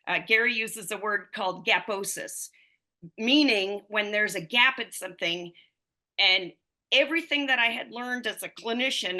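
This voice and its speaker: A woman's voice: medium pitch, sharp tone. A woman in her fifties, a running coach and physical therapist, telling her story as a podcast guest in a loose, rambling way full of fillers. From United States. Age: 50s. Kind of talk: conversational podcast interview.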